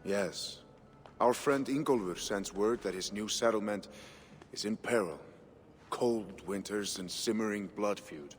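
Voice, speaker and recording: A man's voice: fairly low pitch, natural voice. An actor playing a mature, gracious Norse king in a game cutscene, with stately, formal delivery. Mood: grave. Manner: stately, deliberate, formal phrasing. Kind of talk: dramatic video game cutscene acting. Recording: reverberant hall, faint background noise.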